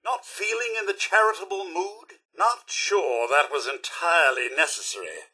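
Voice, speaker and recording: An actor playing a prim, polite robot butler in a video game, with a British accent and theatrical, clipped manners. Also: male voice, a little high, expressive, normal speed, sharp tone, breathy edge, full character voice. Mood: prim disapproval. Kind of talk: video game character voice lines.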